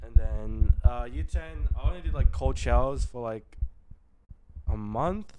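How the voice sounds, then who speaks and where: A man's voice: medium pitch, measured pace, warm tone, slight background noise, poor audio. Laid-back young man, slangy and casual. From Philippines. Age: young adult. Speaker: podcast co-host. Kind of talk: casual chat.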